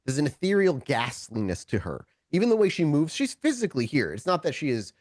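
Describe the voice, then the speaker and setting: Man narrating a tabletop game, animated pitch. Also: dry recording, studio quality. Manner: halting, self-correcting, dramatic pauses. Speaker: storyteller, game master of a tabletop roleplay show. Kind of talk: improvised game narration, conversational and descriptive.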